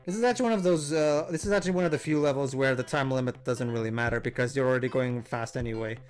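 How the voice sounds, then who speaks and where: A man's voice: medium pitch, racing, soft background music. A man hosting a let's play, talking casually and explaining at length with lots of fillers and restarts. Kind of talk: casual gameplay commentary.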